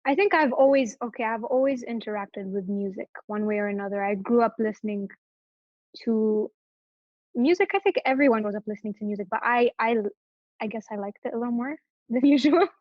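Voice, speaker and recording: Young woman guest, from Morocco, halting and filler-heavy chat, slightly high voice, muffled close recording. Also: no echo. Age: young adult. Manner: hesitant, many fillers and restarts. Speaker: interview guest. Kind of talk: casual interview chat.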